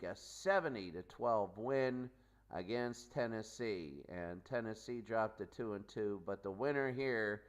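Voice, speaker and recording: Slow-paced man hosting a sports pregame. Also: fairly low pitch. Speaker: sports host and announcer. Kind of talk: unscripted sports pregame commentary.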